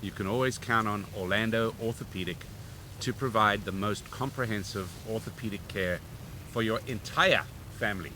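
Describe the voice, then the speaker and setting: A man's voice: fairly low pitch, normal speed. A man with a big voice doing casual live commentary at a rowing regatta, reading sponsor notes between races. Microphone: standing next to a microphone. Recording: broadcast mic with steady outdoor noise.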